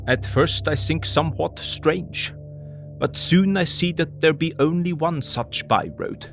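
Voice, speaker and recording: A slow, gravelly elderly foreign professor. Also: male voice, fairly high pitch, fairly bright tone, soft background music. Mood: earnest, wondering. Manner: deliberate, broken foreign English, old-fashioned phrasing. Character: elderly foreign professor, earnest, grave, weary vampire hunter. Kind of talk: audio drama diary narration.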